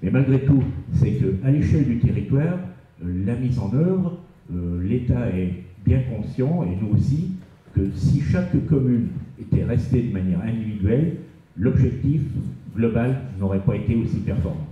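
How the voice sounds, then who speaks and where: A man's voice: medium pitch, lively intonation, moderate pace. A man giving testimony in an interview. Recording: echoey room, distant mic, dull sound.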